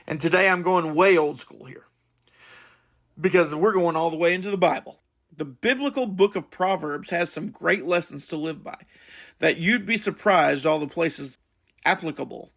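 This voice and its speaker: A man's voice: on the high side, vocal fry, fairly bright tone. A man hosting a podcast, giving a scripted monologue in an earnest, explanatory way. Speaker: podcast host.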